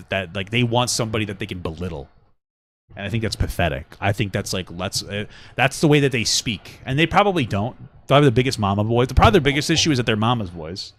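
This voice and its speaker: A young man hosts a casual podcast from a room at home, talking quickly with a lively, swooping delivery. His voice sits a little low but it jumps all over the place. He rambles, swears freely and mocks people by putting on silly high voices. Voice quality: smooth voice. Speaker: podcast co-host. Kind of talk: casual couple podcast chat.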